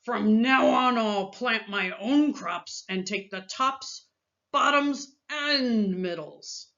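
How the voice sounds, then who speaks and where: A woman's voice: fairly high pitch, takes it slowly, a bit bright, muffled recording. Woman acting a grumpy, growling storybook bear. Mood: angry. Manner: scowling, growling, emphatic. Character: grumpy, lazy male bear, a duped storybook neighbor.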